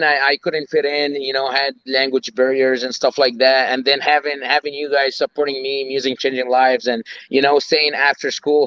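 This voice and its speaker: A man's voice: mid-range voice, a bit bright, no echo, lo-fi sound. A young man from Egypt whose first language is Arabic, a guest talking in a casual interview, hesitant with many fillers and restarts. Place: outdoors on a city walk.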